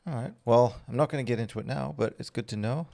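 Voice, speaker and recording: A man, chatting casually on a livestream and answering viewers' questions while he works, relaxed and rambling with lots of fillers and restarts. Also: medium pitch, fast, vocal fry. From Belgium. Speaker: streamer answering chat.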